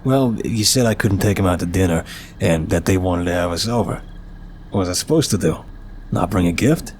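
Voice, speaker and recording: A man's voice: low-pitched, fairly quick, darkish tone, slight background noise, close to an everyday voice. A man playing an apologetic, nervous but charming mafia boss in an ASMR roleplay, chatty, wry and flirtatious. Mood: earnest, playful.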